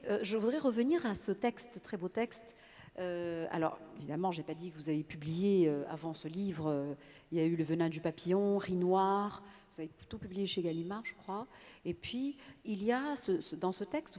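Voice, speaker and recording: Moderator, woman; thoughtful and hesitant, mellow voice, reverberant hall. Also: on the low side, moderate pace. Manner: reflective, hesitant, questioning. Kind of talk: panel discussion. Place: large theatre on stage. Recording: long theatre reverb.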